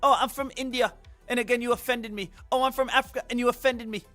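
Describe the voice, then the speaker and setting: A man putting on the voice of a touchy, complaining viewer in a high, mocking whine. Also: steady tone, quick pace, bright, forceful tone, soft background music. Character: whiny offended viewer, adult, touchy and complaining.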